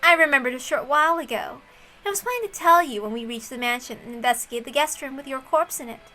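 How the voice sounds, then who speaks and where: A woman's voice: high-pitched, fast, pushed and bright. A woman voicing a smug, scornful young woman detective with mock-polite manners in a visual novel reading. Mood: smug, mock-apologetic. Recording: music under the voice.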